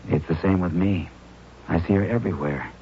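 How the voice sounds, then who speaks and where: An actor playing a grieving young widower with a quiet, sorrowful delivery in a 1970s radio drama. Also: male voice, sits low, fairly quick. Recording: dull old radio broadcast with faint hiss.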